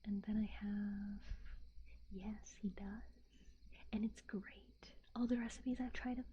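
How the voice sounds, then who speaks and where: A young woman from the United States whispering in a soft, rambling ASMR chat, with fillers and little giggles. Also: mid-range voice, steady tone, slow, dull audio, a little hiss or hum.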